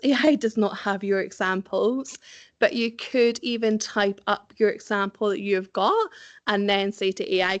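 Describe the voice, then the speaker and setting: A middle-aged Scottish woman presents career tips to young professionals in a relaxed, conversational way, with frequent ums and occasional light laughs. Her voice sits at an ordinary pitch for a woman. The recording is dry and close, with little room sound. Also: no echo. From Scotland. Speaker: presenter giving career advice.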